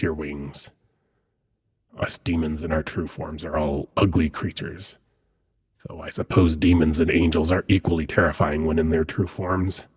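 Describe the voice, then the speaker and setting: The deep, very husky murmur one would hear late at night in a fantasy ASMR roleplay: a silky demon prince, acted by a man, teasing his captive with soft menace. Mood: musing, intimate. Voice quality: husky, breathy, often near whisper. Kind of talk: intimate ASMR roleplay monologue.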